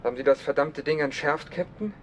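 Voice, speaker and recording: An actor playing a brisk, dutiful, hard-nosed police lieutenant with an official manner in a radio drama. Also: male voice, fairly high pitch, lightly acted. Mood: stern. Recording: 1970s radio play, faint background noise.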